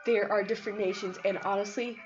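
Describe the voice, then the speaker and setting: A woman's voice: medium pitch, steady tone, fast talker. A woman from Pakistan, an Urdu speaker, answers interview questions in an informal, conversational way with frequent filler phrases. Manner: informal, conversational, peppered with "like" and "you know". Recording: dull lo-fi audio, music behind.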